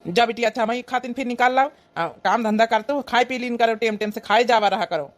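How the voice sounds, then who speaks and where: A woman's voice: a little high, quick pace, close to an everyday voice. A woman with an Awadhi accent voicing a trusting, affectionate middle-aged village father in a comic cartoon. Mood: caring. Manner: affectionate, earnest.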